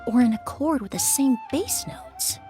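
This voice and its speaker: An actress playing a composed young perfumer in a video game, speaking in a measured, formal, matter-of-fact way. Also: female voice, high-pitched, big pitch swings, music in the background. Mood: composed, thoughtful. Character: composed, precise young female perfumer, polite and analytical.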